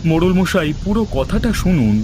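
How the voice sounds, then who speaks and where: A man's voice: sits high, very animated, normal speed, noisy background. A man voicing a resourceful village chicken seller in cartoon dialogue, earnest, confident and respectful. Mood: earnest, determined.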